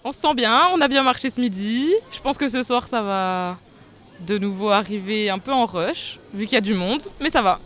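A woman's voice: mid-range voice, normal speed, fairly bright tone, highs cut off. A woman working a food stall, chatting cheerfully about a busy day. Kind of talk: casual interview answers. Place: outdoor festival.

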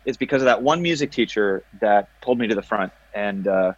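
A man with a big baritone voice chatting as a podcast guest, telling rambling, self-deprecating stories with many restarts. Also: brisk, lo-fi sound. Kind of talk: casual podcast chat.

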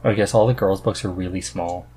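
A man's voice: sits low, fairly even intonation, brisk, warm tone, a little hiss or hum, poor audio. A man hosting a podcast in a casual, conversational chat. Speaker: podcast host.

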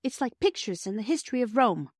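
A woman's voice: on the high side, big pitch swings, fairly quick, no echo, studio quality, pitched above the performer's own voice. A woman voicing an earnest, polite young girl in a storybook, hesitant and eager. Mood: wondering. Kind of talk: storybook character dialogue.

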